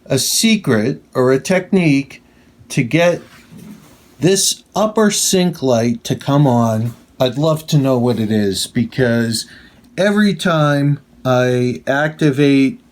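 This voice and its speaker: A man giving a measured, plain-spoken casual review and demonstration as a hobbyist. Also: a little high, a little mellow.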